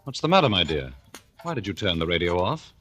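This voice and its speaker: An actor playing a peevish, suspicious husband in a 1940s radio play, formal and sardonic in manner. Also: male voice, sits low, big pitch swings, fairly quick, a crowd in the background. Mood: irritated. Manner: formal, fussy, sardonic "my dear". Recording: noisy 1940s radio recording.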